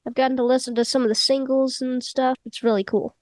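A woman's voice: sits high, little pitch movement, fast talker, darkish tone, no echo. A woman hosting an interview podcast, sounding nasal from a cold and asking easygoing questions. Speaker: podcast host.

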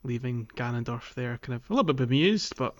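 A man's voice: mid-range voice, animated, fairly quick, lo-fi sound. A man hosting a podcast, casually chatting about video games. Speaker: podcast host. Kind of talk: casual discussion of a video game.

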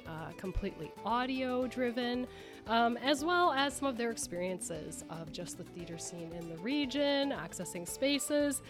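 A woman hosting an interview in an informal, conversational way, with chatty phrasing. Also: medium pitch. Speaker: radio interview host.

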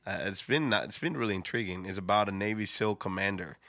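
A man, a pastor co-hosting a casual talk show, who speaks haltingly and repeats words as he goes. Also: on the low side, fairly bright tone, no room sound, clean studio sound. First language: Spanish. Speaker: pastor, co-host. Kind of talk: casual podcast chat.

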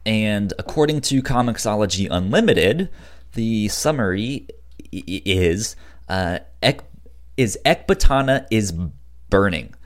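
Low-pitched, slow man with a stutter. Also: expressive. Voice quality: frequent stutter, repeating syllables and blocking on words. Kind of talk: casual podcast chat, giving recommendations.

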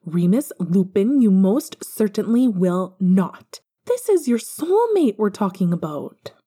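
A woman's voice: medium pitch, sing-song, slow pace, warm tone, slightly rough, heavily acted. A woman voicing a bossy, affectionate, teasing young woman friend in an audiobook. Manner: teasing, insistent. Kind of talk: audiobook fan fiction character dialogue.

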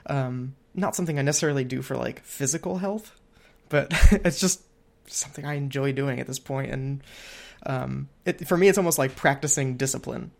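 A man's voice: mid-range voice, moderate pace, creaky. A man, perhaps in his thirties or forties, talking casually on a podcast, thinking aloud in a rambling way and acting out imagined quotes. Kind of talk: casual conversational podcast chat.

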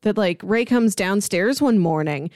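Lively woman with a dry, close sound. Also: medium pitch, a little slow, dry recording. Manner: animated storyteller, acting out quoted lines. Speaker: film podcast host. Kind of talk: casual chat, retelling film scenes.

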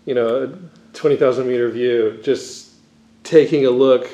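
A man's voice: medium pitch, measured pace, mellow, reverberant. A man interviewing a scholar, asking questions and summing up the guest's points. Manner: leading, rephrases the guest's points.

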